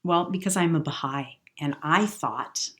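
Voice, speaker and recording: A woman's voice: low-pitched, unhurried, fairly bright tone. Woman in her fifties, Midwest-raised jazz singer, guest in an interview, talks in a reflective, earnest way. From Milwaukee, US Midwest. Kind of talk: conversational interview.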